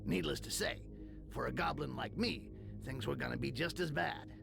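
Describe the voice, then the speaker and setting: A heavily creaking, sardonic goblin narrates a game cutscene. He is bitter and wry, swearing as he tells of his lot. Music plays clearly behind him. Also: medium pitch, moderate pace. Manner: wry, foul-mouthed storytelling.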